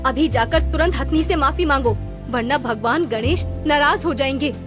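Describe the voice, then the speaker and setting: A kind but strict, devout village mother put on by a woman narrator for a cartoon story. The voice is pitched high, with music underneath. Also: normal speed, natural voice. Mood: scolding. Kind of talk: children's cartoon story voice acting.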